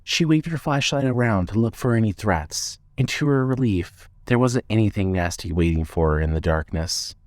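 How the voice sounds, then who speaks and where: Low-voiced male story narrator, dry sound. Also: lively intonation, dry recording. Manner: measured, suspenseful storytelling.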